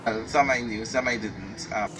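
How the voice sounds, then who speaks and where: A man's voice: fairly low pitch, normal speed, background noise. A man who was the conductor, speaking hesitantly with dry humor in an interview. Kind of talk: casual interview. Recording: distant mic.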